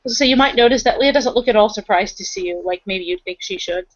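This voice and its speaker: A woman's voice: medium pitch, quick pace, dry recording, highs cut off. A woman narrating her character casually as a player in a tabletop roleplay.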